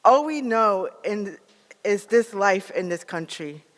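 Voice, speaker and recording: A man with an American accent giving a prepared advocacy speech in an earnest, measured manner. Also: high voice, lively intonation, fairly bright tone, in a large hall, rough recording. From United States, born in Peru. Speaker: national organizer, advocate.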